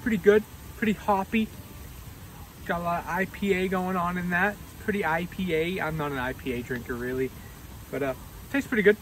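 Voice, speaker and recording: A man's voice: high-pitched, fairly quick, a bit bright, a little hiss or hum, rough recording. A man chatting casually for his camping vlog at an outdoor forest campsite, rambling with lots of "uh" and "like". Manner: laid-back, rambling with many "uh" and "like" fillers. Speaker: overland camping vlogger.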